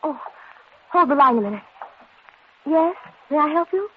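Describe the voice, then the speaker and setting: A muffled, faintly noisy vintage radio recording of a girlish American secretary. Her voice is very high, with a fluttery, gushing, scatterbrained devotion. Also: animated, moderate pace. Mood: flustered. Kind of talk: 1940s radio comedy-drama acting.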